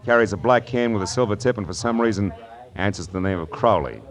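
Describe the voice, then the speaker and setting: Man playing a wisecracking American gumshoe for vintage radio crime drama, deep-set voice, sardonic and streetwise. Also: fast talker, faint music behind, natural voice. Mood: pushy, wisecracking. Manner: wry, tough, slangy. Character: hard-boiled, wisecracking male private detective. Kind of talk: 1940s radio noir narration and dialogue.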